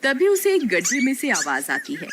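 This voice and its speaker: High-pitched, lively woman storyteller. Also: moderate pace, background noise. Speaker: narrator. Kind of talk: scripted children's story narration.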